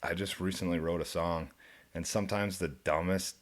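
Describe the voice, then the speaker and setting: A young man with a deep, low voice, a country musician guest in a studio, talking in a laid-back, rambling way and laughing often. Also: fairly even intonation, normal speed. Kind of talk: casual interview chat. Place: radio studio.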